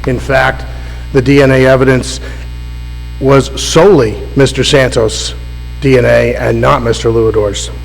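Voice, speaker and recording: Defense lawyer guy with a cold, formal and deliberate, echoey courtroom, slight hum. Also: medium pitch, fairly even intonation, gravelly. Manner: formal, deliberate, with some restarts under questioning. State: has a cold. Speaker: defense attorney. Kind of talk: appellate oral argument. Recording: roomy courtroom with faint mains hum.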